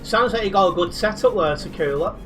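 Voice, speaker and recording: Chatty high-voiced man live-streaming. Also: a little fast, faint music behind. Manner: chatty, reads out chat, thanks viewers. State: sleep deprived. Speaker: game streamer. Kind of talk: casual live-stream chat while driving.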